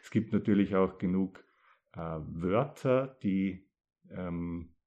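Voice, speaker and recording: A man from East Styria with an East Styrian Austrian accent, a poet reading his dialect poems and then talking about them thoughtfully, with pauses. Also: low-pitched, lo-fi sound. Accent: East Styrian Austrian German.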